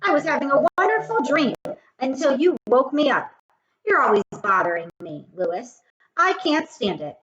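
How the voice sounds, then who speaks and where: Woman acting a grumpy high-pitched schoolgirl. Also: sing-song, normal speed, fairly bright tone, muffled recording. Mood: mocking, annoyed. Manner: scolding, exclamatory. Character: sleepy schoolgirl, grumpy and indignant at being woken. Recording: roomy echo with faint noise.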